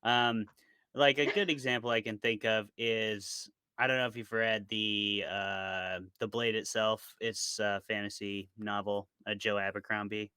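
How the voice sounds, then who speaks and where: A man's voice: on the low side, fairly even intonation, a little slow, bright, forceful tone, clear, resonant tone, no echo. A man talking informally as an interview guest, rambling casually through examples. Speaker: interview guest, author and podcaster. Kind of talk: casual chat about fiction.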